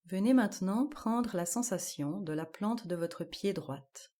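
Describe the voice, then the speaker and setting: Slow, mellow woman guiding relaxation. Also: medium pitch. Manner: calm, measured instruction with spaced-out short phrases. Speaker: yoga nidra guide. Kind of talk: guided relaxation meditation.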